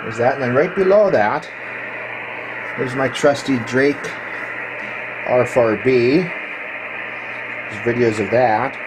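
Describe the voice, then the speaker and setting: A man giving a casual, hands-on video walkthrough as a hobbyist, explaining technical gear in a matter-of-fact, halting way into a handheld camera. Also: medium pitch. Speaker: ham radio hobbyist showing his equipment. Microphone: handheld camera microphone. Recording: clearly noisy handheld camera recording.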